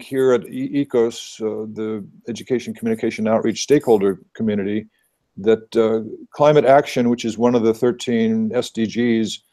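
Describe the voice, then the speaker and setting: A man's voice: mid-range voice, warm tone. Earnest man presenting over video call. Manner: earnest, explanatory, thinking aloud. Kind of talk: presentation at an online meeting.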